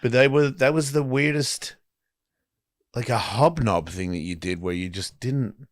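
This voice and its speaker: A man's voice: medium pitch, a little slow, no echo, studio quality. A man hosting a talk show, chatting candidly in a blunt, profane way and acting out the exchanges he recalls. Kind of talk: candid casual chat, anecdotes.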